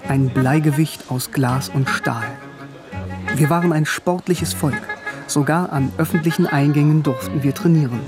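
A man's voice: a little high, moderate pace. A man giving wry, reflective first-person literary narration. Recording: music under voice.

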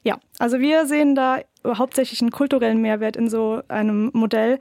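A woman researcher with a fairly high voice explains her project earnestly, filling pauses with frequent "ähm" and "genau". The sound is dry and close, like a studio line. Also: flat delivery, a little mellow, no echo. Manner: earnest explainer with many fillers. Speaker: speech technology researcher. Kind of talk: explaining in a radio interview.

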